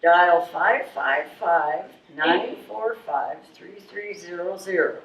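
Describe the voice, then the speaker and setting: A woman's voice: lowish voice, slow, muffled recording. A woman playing a part in a live readers theatre reading, reciting listings item by item. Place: live stage reading in a room. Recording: echoey, distant, faintly noisy room.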